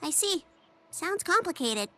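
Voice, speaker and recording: A woman's voice: very high voice, big pitch swings, measured pace, pushed and bright, heavily acted. A woman playing a blunt, precocious little girl in a video game, nosy and demanding. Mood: pert, curious.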